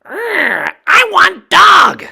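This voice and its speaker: A man voicing greedy, dim-witted storybook trolls, shouting boastfully in broken, childlike phrases. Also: very high-pitched, very animated, very slow, gravelly, pitched above the performer's own voice, exaggerated voice. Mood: demanding. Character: greedy, dim, gleeful furry mountain trolls.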